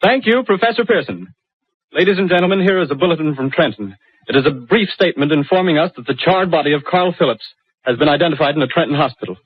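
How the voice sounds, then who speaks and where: An actor playing a studio announcer reading urgent news bulletins in a 1930s radio drama. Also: male voice, fairly high pitch, animated, fast talker, breathy edge, dry recording. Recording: tinny 1938 radio broadcast.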